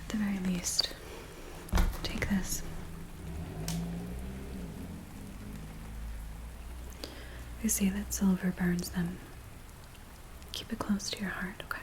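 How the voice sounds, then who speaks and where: A woman playing an anxious, earnest villager in a hushed, breathy, hesitant ASMR roleplay voice. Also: mid-range voice, natural voice. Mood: hesitant, hopeful. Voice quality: breathy, whispery soft voice. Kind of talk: soft-spoken ASMR roleplay. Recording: steady rain noise behind close soft voice. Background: rain ambience.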